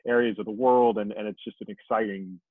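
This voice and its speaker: A man's voice: on the low side, fairly even intonation, brisk, no echo. A man in his forties hosting a podcast interview over a video call, asking friendly, rambling questions with restarts. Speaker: podcast host. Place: room with bookshelves. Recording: dry tinny phone-like call.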